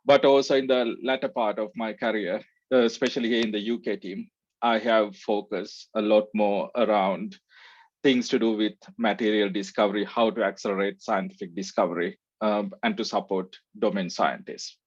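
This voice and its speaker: A man's voice: on the low side, normal speed, husky, no room sound, highs cut off. A man from Sri Lanka, a research scientist giving a conference talk, with many "uh" fillers. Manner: frequent "uh" fillers, relaxed. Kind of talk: conference presentation.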